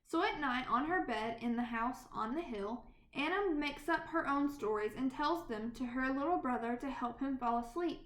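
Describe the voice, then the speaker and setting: A woman reads a children's picture book aloud in an animated, deliberate storytelling manner. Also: sits high. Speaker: storybook reader. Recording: roomy, echoing sound.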